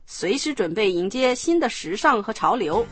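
A woman narrating and explaining a scripted language lesson in a clear, explanatory manner. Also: fairly high pitch, big pitch swings, moderate pace.

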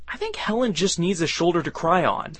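A man's voice: high voice, lively intonation, a little fast, a bit bright, slightly rough, no echo. A man co-hosting a language lesson with joking, playful banter. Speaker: co-host. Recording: dry studio sound.

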